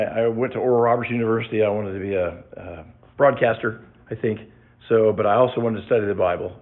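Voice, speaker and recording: A man in his sixties giving a personal testimony to his church, reflective and halting, with many restarts. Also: on the low side. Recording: tinny phone-like sound.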